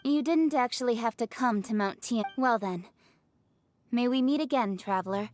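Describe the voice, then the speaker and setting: A woman's voice: a little high, normal speed, a little mellow, a little hiss or hum, lightly acted. An actress playing a proud, candid young noblewoman official in an anime video game, formal and composed. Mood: frank, brisk. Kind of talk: English anime game voice acting. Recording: clear game music behind.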